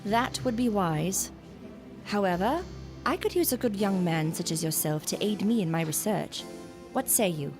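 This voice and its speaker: A woman acts out an eccentric, secretive lady doctor from a gothic radio play. She is imperious and knowing, with theatrical, archaic phrasing. Her mid-pitched voice has music running under it. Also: lively intonation, normal speed, close to an everyday voice. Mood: commanding, intrigued. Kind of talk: gothic audio drama acting.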